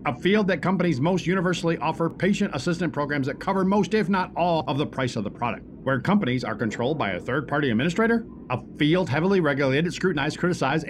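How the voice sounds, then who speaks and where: An actor in an audio drama playing a callous, contemptuous corporate boss who rants in a pompous, wordy way. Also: male voice, on the high side, expressive, quick pace, hardly any pauses, a little hiss or hum, close to an everyday voice. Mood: scornful, irritated. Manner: pompous, verbose, scornful rants.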